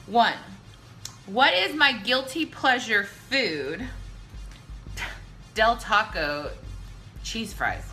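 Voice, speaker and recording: A woman's voice: a little high, expressive, very slow, pauses often, sharp tone, soft background music. A drunk woman hosting a casual, chatty question-and-answer video, blunt and brash, swearing freely.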